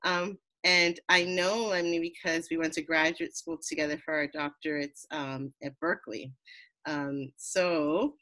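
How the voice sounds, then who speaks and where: New york lady hosting, lower voice, gushing and friendly. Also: steady tone, a little slow, poor audio. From Queens, New York, USA. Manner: warm, effusive, laughs often. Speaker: host, behavioral therapist. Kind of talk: casual interview.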